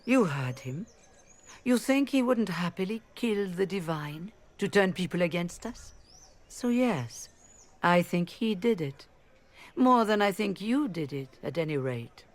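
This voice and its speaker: A woman's voice: on the low side, lively intonation, measured pace. A woman playing a proud, diplomatic elven leader of rebel mages in a video game, speaking formally. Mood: bitter, defensive.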